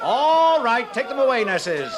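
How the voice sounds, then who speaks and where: Casting: imperious institute director, high-pitched, swooping, pompous and smugly cold, 1950s radio drama lecturer. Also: male voice, big pitch swings, a little slow, crowd noise, noisy background, full character voice. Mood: commanding. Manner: pompous, declamatory, instructive. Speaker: actor.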